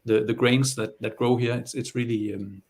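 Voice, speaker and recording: A man from Denmark, a guest distiller, answering questions over a video call in a halting way with many restarts. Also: medium pitch, fairly even intonation, a little mellow. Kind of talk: interview answers.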